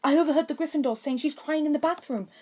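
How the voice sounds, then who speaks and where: A fiery schoolboy wizard, acted out by a woman narrator, brave and stubborn. He speaks urgently and forcefully, as in a fantasy storybook, pitched up and pushed hard. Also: fast, close to an everyday voice. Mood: urgent, angry.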